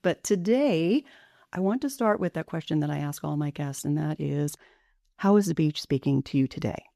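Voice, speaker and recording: A woman hosts a podcast, opening with a gentle, reflective introduction before easing into conversation. Her voice is low and mellow in tone. The recording is dry and close. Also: expressive, moderate pace, vocal fry, no echo. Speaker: podcast host.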